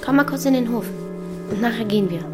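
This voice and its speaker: A defiant thirteen-year-old village girl, acted by a woman in a radio drama, with a fairly high voice. She talks bluntly and impatiently, bossing her friend along, with music clearly audible behind her. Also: fast talker, close to an everyday voice. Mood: urgent, impatient.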